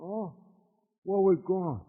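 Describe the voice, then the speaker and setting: An American man playing a dim, violent, excitable outlaw henchman in a radio western, eager and blustering. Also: high-pitched, slow, heavily acted. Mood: eager, agitated. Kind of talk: 1950s radio serial acting. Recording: dull, reverberant old radio recording.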